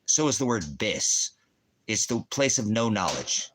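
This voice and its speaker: A man's voice: on the low side, sing-song, unhurried, a bit bright, slight background noise, poor audio. A man hosting a rambling livestream Bible teaching, talking bluntly and challengingly to commenters and often answering his own questions. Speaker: ministry teacher and livestream host. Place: indoors at home by a fireplace.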